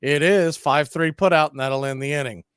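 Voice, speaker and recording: Chatty baseball announcer, dry mic. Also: male voice, on the high side, moderate pace, a bit bright, clear, resonant tone, dry recording. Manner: chatty, tells side stories and laughs at them. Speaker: radio play-by-play announcer. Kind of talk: live baseball play-by-play with casual chat.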